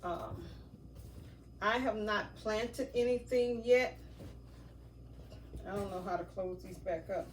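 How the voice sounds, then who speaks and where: A woman's voice: medium pitch, takes it slowly, pauses often. Chatty stuffy-nosed woman, distant mic. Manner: chatty, rambling, many fillers. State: stuffy nose. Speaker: vlogger. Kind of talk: casual unboxing vlog. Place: outdoors near a garden. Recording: noisy, from off mic.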